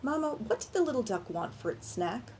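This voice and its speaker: A woman's voice: a little high, big pitch swings, a little fast, darkish tone, a little hiss or hum, pitched above the performer's own voice. A woman voicing a curious, eager young boy from a children's picture book. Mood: playful. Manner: eager, inquisitive.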